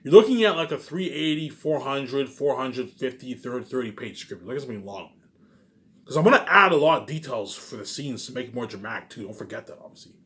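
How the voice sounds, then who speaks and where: A man's voice: mid-range voice, expressive, brisk, fairly bright tone. A man giving a rambling, informal monologue in a boastful, repetitive, emphatic way, swearing now and then. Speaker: vlogger.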